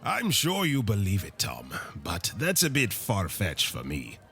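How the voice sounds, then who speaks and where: An actor playing a skeptical village blacksmith in a fantasy audio drama, teasing and dismissive. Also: male voice, fairly low pitch, huge pitch swings, a little slow, sharp tone, faint music behind, full character voice. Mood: amused, skeptical.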